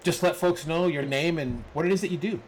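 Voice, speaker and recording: A man's voice: fairly high pitch, fairly quick, a little hiss or hum. Upbeat man hosting a casual podcast. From Chicago. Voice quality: relaxed, upbeat with frequent fillers. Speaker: podcast host. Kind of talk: casual podcast intro and interview.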